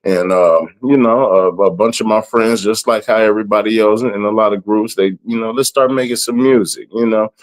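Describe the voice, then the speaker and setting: A raspy man in his thirties from the southern United States, talking about his music in a relaxed, rambling way. His voice sits a little low, and he often repeats words and says "you know" as he goes. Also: moderate pace, few pauses, low-quality recording. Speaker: rapper and event planner. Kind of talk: casual interview.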